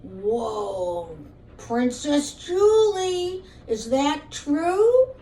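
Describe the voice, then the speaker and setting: A woman's voice: high-pitched, very animated, drawn-out words, dark tone, echoey room, full character voice. Woman playing a stern, swooping fairy-tale king. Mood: shocked, stern. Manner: questioning, authoritative. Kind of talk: children's puppet show. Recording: distant mic with faint background noise.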